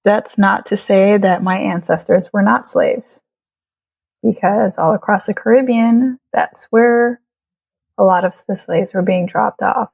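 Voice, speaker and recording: A woman's voice: medium pitch, steady tone, unhurried, vocal fry, a little mellow, slightly rough, rough recording. A woman in her late twenties, from Trinidad and raised in Florida, speaking thoughtfully as an interview guest and laughing often. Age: late 20s. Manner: reflective, laughs often, many fillers. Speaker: birth photographer, guest. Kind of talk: casual interview chat.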